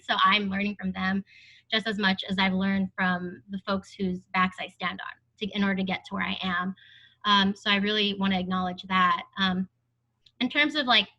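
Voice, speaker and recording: Young woman panelist, earnest and thoughtful; bright tone on muffled audio. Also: mid-range voice. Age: young adult. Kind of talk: panel discussion.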